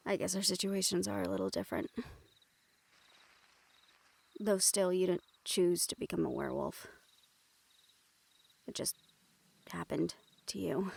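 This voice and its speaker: Low, creaky, weary young woman, acted out. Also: fairly even intonation, normal speed, long pauses, darkish tone, exaggerated voice. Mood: guilty, weary. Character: remorseful, weary young woman with dangerous newfound powers. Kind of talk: intimate audio roleplay acting, addressed to listener.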